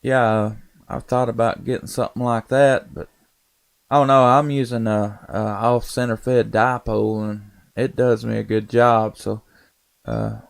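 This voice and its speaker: Southern American male, medium pitch, resonant and clean, folksy conversational radio-operator delivery. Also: little pitch movement, measured pace, gravelly. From Tennessee, United States. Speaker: amateur radio operator and live streamer. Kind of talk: casual ham radio chat.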